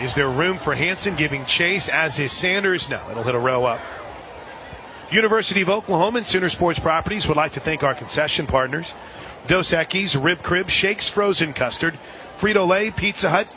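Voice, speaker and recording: A man calling softball play-by-play on the radio, dropping into casual banter between pitches. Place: outdoor softball stadium broadcast position. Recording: outdoor stadium crowd and ambient noise. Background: crowd noise.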